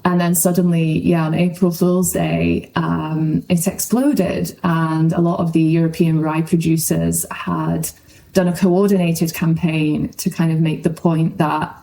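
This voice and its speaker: A woman's voice: low voice, flat delivery, a little slow, gravelly, warm tone, poor audio. A woman speaking as a guest journalist in a conversational podcast interview.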